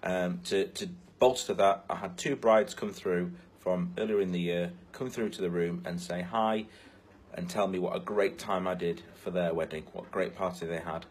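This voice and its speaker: A man's voice: low-pitched, fairly even intonation, normal speed, slight background noise. A man, a DJ, chatting enthusiastically about a great gig into a phone held at arm's length. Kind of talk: casual recap.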